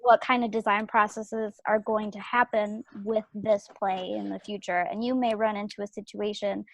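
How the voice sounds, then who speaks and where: A woman's voice: mid-range voice, level tone, moderate pace, no room sound. A woman talking casually and emphatically in a group feedback discussion on a video call. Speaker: table read participant giving feedback.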